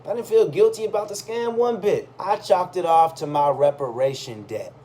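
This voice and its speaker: A man reading a first-person story aloud in a conversational, expressive way. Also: high-pitched, moderate pace. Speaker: reader of a personal chronicle. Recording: clearly audible background noise.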